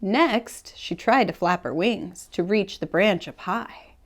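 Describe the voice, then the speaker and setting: A woman's voice: mid-range voice, slow pace. Woman reading a children's storybook aloud. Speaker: children's read-aloud host at a public library. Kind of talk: storybook read-aloud for children, rhyming verse.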